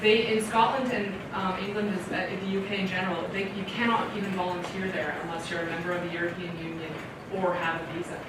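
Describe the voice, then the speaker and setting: A woman from Canada giving a casual question-and-answer talk to a library audience. She is chatty and animated, laughs often and acts out her own reactions. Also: lowish voice, quick pace. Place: library meeting room with audience. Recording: echoey hall audio from far off with loud room noise.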